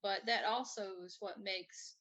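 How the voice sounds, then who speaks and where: Female live show host, bright tone, mid pitch, informal and a bit rambling. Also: steady tone, unhurried. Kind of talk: casual chat, reading out viewer comments.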